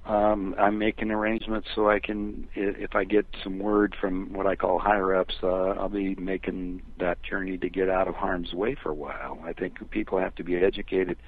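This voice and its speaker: Older American male phone guest, low-pitched and husky, rambling but emphatic, conversational, on a thin telephone line. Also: flat delivery, moderate pace, hardly any pauses. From United States. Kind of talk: conversational opinion talk.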